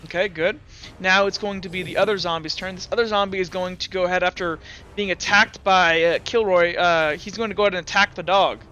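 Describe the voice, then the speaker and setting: Man running a tabletop game: higher, bright voice, works through the rules out loud and corrects himself. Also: steady tone, fairly quick, smooth. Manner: talks through rules and dice aloud, often correcting himself. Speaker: game master. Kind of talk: narrating and refereeing a tabletop game.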